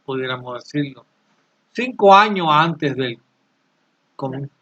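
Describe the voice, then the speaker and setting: A man in his late sixties from Cuba, answering interview questions earnestly and haltingly, often repeating words as he searches for them. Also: fairly high pitch, expressive, bright, forceful tone, muffled recording. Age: 60s. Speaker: channel creator, interviewee.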